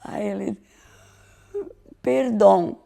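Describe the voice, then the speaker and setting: An older woman, recounting memories as an interviewee in an animated storytelling way. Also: mid-range voice, drawn-out words, creaky. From southern Brazil. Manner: animated storytelling, acts out classroom scenes.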